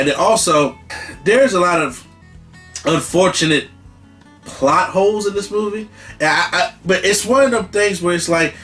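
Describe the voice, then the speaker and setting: A man's voice: fairly high pitch, fairly bright tone. A man hosting a casual movie review, rambling and emphatic, with slang and the odd curse. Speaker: movie reviewer, channel host.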